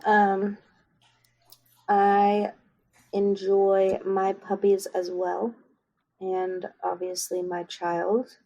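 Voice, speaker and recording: A woman from Kansas co-hosting a book podcast, chatting casually with frequent pauses and ums. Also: mid-range voice, dull audio. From Kansas, USA. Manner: relaxed, unhurried with frequent ums. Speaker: podcast co-host. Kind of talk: casual chat.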